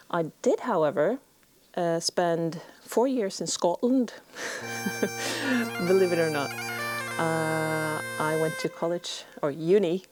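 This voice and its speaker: A middle-aged Norwegian woman speaks with a drifting accent that is part American, part British. Her voice sits fairly low, and she talks slowly and thoughtfully, pausing often on "uh" and "um." The recording is close and dry, with no room sound around her. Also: no echo. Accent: Norwegian, mixed American and British. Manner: soft-spoken, reflective, hesitant with many fillers. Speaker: farm vlogger answering viewer questions. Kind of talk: casual Q&A to camera.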